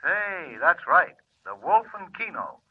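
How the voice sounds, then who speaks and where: Brisk hearty newspaper editor, kinda high voice, punchy, dull old radio sound. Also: male voice, very animated, husky, full character voice. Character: brisk, gruffly genial newspaper editor, male boss. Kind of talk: 1940s radio acting.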